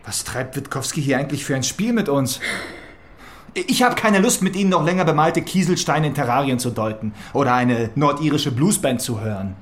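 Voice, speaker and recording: An actor playing a snobbish young police detective in a radio crime drama, arch and impatient, with formal, sarcastic phrasing. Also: male voice, mid-range voice, animated, brisk, natural voice. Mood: impatient, mocking. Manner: pompous, sarcastic, formal wording.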